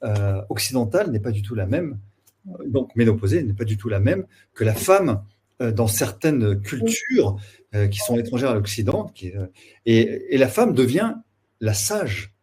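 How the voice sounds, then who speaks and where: A man's voice: mid-range voice, sing-song, normal speed, a little mellow, a little hiss or hum. A man hosting a webinar interview, often stammering and repeating words as he asks his questions. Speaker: webinar host.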